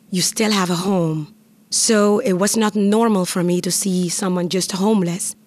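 Lively woman telling her story. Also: medium pitch. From Curaçao. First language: Papiamentu. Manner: lively, chatty, laughs often. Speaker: interviewee. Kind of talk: personal storytelling.

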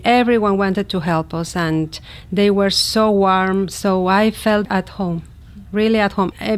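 A middle-aged woman from Mexico City with a Mexican accent, a native Spanish speaker telling her personal story in simple, halting learned English. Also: medium pitch. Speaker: interviewee, immigrant teacher. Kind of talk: personal story told in an interview.

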